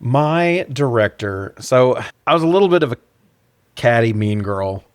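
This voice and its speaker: A man's voice: mid-range voice, animated, unhurried, clear, resonant tone, no room sound, studio quality. A man co-hosting a podcast, chatting casually and bluntly about films with plenty of swearing. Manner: profane, blunt. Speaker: podcast co-host. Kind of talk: casual film review chat.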